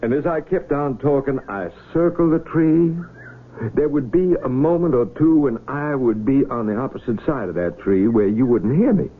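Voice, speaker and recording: An actor playing a calm, inquisitive country physician in a radio drama, with a husky American voice and a measured, gently questioning manner. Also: male voice, mid-range voice, close to an everyday voice. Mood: patiently explaining. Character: calm, inquisitive, patient country physician of the Civil War era. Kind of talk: 1970s radio drama acting. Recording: narrow, tinny vintage radio broadcast.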